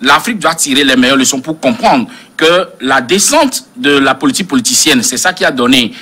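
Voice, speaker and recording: A man's voice: medium pitch, expressive, a little fast, sharp tone, husky. A man speaking as a panel analyst in a political debate, professorial and long-winded with learned references.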